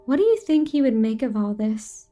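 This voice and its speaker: A woman's voice: on the high side, big pitch swings, normal speed, warm tone, soft background music. A woman playing a frightened young girl, a little sister, in an audio horror drama. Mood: uneasy.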